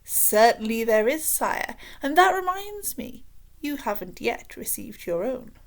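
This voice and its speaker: A woman voicing a scheming, flattering male royal advisor with a pompous manner in a children's storybook. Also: on the high side, a little slow, voice pushed up. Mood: fawning, pompous.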